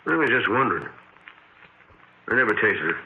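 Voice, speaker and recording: Rambling bartender, tinny old radio. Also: male voice, on the low side, sing-song, moderate pace. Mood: offhand, rambling. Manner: rambling, offhand, slangy. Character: chatty, absent-minded, easygoing male bartender. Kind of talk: 1940s radio drama acting. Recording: tinny, hissy 1940s radio recording.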